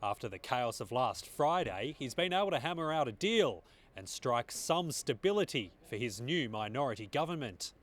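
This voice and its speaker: A man reporter reading a scripted news voice-over with brisk, punchy phrasing. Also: mid-range voice, very animated.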